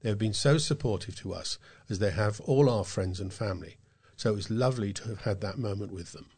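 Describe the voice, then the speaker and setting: A man's voice: fairly low pitch, a little fast, a little mellow, husky. A man reading scripted local news stories as a volunteer newsreader.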